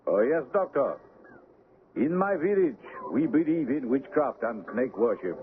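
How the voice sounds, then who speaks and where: An actor playing an educated, solemn Haitian first mate who believes in magic, in a radio drama. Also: male voice, medium pitch, big pitch swings, a little slow. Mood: solemn, respectful. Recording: tinny, noisy old radio broadcast.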